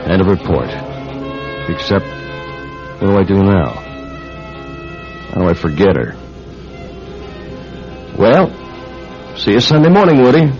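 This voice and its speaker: An actor playing a troubled, earnest investigator in a grave, dramatic radio drama style. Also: male voice, low voice, very animated, slow pace, pauses often, over music, full character voice. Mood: grieving, weary. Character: troubled, earnest male investigator narrating his case. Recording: dull radio drama recording.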